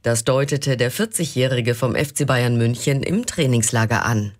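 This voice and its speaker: A man's voice: mid-range voice, normal speed. A man with a standard German accent reading scripted news as a radio presenter.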